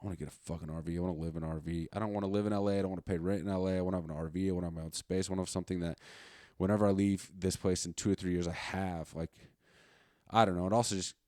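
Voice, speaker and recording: Male podcast host, late 30s, low voice, dry sound. Informal, sweary, unscripted rambling. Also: steady tone, fast, a little mellow, dry recording. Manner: profane, slangy, informal. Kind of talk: casual rambling solo chat.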